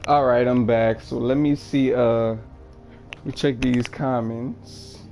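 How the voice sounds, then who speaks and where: A man's voice: medium pitch, steady tone, takes it slowly, vocal fry, a little mellow, soft background music. A man chatting casually with viewers while streaming a game, rambling with many "like" fillers. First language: English. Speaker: livestreamer. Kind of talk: casual chat while gaming.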